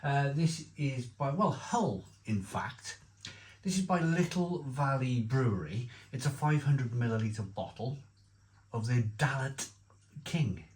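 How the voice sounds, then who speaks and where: Man, beer reviewer, casual talky delivery, ordinary middle pitch, rambles and self-corrects. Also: measured pace, a little mellow, poor audio. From Wales. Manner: chatty, rambling with many restarts and asides.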